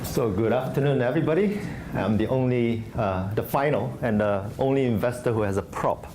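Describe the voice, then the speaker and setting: A man's voice: medium pitch, moderate pace, warm tone, a little hiss or hum. A man from Singapore, an investor giving a conversational conference talk full of fillers and restarts. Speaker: venture investor speaking at a startup conference. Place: conference stage.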